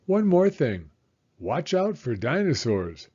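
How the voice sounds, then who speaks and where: Man playing young female beaver, storybook character, know-it-all, excitable, husky, slightly dull recording. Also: on the high side, takes it slowly, voice pushed up, exaggerated voice. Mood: teasing warning. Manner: emphatic, giggly.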